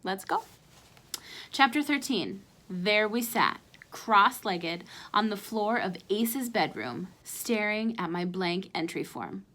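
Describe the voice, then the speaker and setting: Animated woman reading aloud, bright voice. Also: medium pitch, slow pace. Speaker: read-aloud storyteller. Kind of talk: expressive children's chapter book narration.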